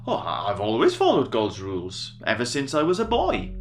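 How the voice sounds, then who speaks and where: A man voicing an eager, flattering rich man in a children's story, gushing and earnest. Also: medium pitch, moderate pace, faint music behind.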